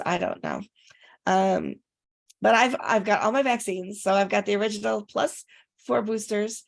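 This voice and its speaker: A woman co-hosting a casual chat, her voice raspy and congested from recent illness, talking in a rambling way with fillers. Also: medium pitch. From Rochester area, upstate New York. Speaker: podcast co-host.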